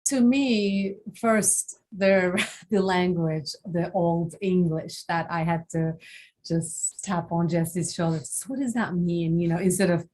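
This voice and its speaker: A woman's voice: on the low side, fairly even intonation, slow pace, darkish tone, rough recording. A woman with a Turkish accent, a panelist speaking chattily in a panel talk. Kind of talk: conversational panel interview.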